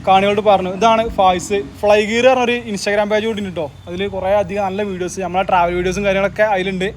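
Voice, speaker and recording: A man's voice: high voice, level tone, fast, slight background noise. A man hosting a tech channel, explaining a product unboxing in casual chat and tagging his sentences with a friendly "you know".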